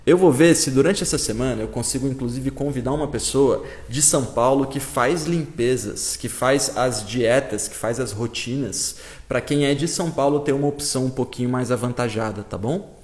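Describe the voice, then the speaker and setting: A man's voice: mid-range voice, moderate pace, darkish tone. A man with a Brazilian Portuguese accent, teaching a live-stream lesson and answering viewers in a casual, explaining way with frequent check-ins. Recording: roomy echo.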